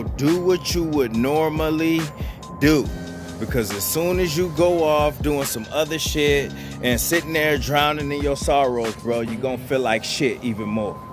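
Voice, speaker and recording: Blunt, profane man over music. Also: on the high side. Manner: blunt, profane, repetitive, calls listeners "bro". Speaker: channel host giving advice. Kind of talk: motivational monologue to viewers.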